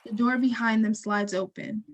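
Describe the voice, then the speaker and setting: A woman narrating stage directions from a script in a measured, neutral, descriptive way. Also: a little high, fairly even intonation.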